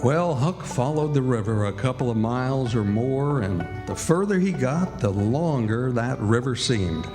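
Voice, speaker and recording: Man narrating old story for radio play, measured, hall reverb, guitar playing behind him. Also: mid-range voice, animated. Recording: live stage hall with reverb.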